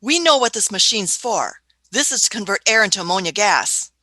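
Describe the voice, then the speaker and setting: A woman's voice: mid-range voice, expressive, fairly quick, sharp tone, no room sound. A woman playing an eccentric, authoritative scientist-adventurer in a radio play, fussy and stammering, with a condescending manner. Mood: patronising.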